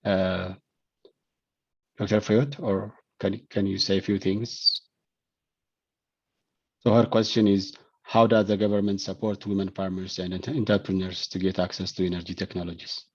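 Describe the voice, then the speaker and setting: Halting low-voiced man moderating online. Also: level tone, breathy edge. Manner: halting, repeats words as he searches for phrasing. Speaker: session moderator. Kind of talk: moderating an online question session. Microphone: video call. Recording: dull, lo-fi video call audio.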